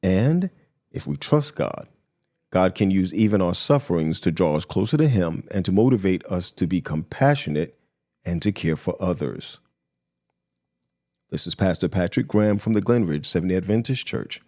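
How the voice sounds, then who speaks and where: A man's voice: low-pitched, lively intonation, moderate pace, a little mellow. A man, a pastor, reading a scripted devotional lesson aloud to listeners.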